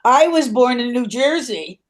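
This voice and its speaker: A woman's voice: fairly high pitch, expressive, a little slow. An older woman from New York City with an American accent, chatting casually. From New York City, United States. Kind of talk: casual chat.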